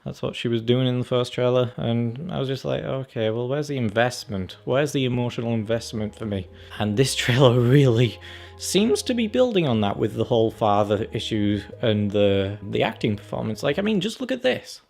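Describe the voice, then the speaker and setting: A man hosts a casual film review in a gravelly, creaky voice at an ordinary pitch. He is chatty and conversational, slipping into acted-out reactions. Music plays behind him. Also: animated, moderate pace, darkish tone. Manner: chatty, acts out reactions and quotes. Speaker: film and game review channel host. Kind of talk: casual trailer review commentary.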